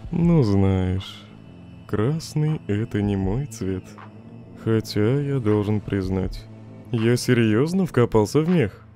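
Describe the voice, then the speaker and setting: Man acting as a mellow, teasing skeleton joker. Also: fairly low pitch. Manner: unhurried, wry, teasing. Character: laid-back, teasing, smiling male skeleton joker. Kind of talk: fan comic dub acting.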